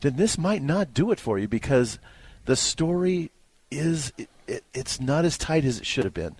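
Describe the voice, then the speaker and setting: A man with a mid-pitched voice talks through a film review in an informal, conversational way. He leans on key words for emphasis. The sound is close and dry, with very little room. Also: very animated, normal speed, darkish tone, husky, no room sound. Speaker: film critic.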